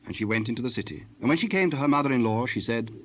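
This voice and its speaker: A man's voice: mid-range voice, lively intonation, racing, a little hiss or hum. A man narrating a dramatized Bible story in archaic English, reading from a script.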